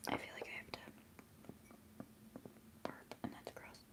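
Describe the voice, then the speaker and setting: A young woman whispering softly and chattily during a live ASMR stream, giggling now and then. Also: a little slow. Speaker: livestream host.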